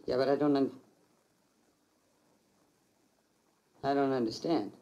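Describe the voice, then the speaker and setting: A woman's voice: a bass voice, steady tone, quick pace, mellow. An actress playing a brash woman who talks in slang and coaxes a frightened friend. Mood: suspicious. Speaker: film character. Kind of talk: film drama acting.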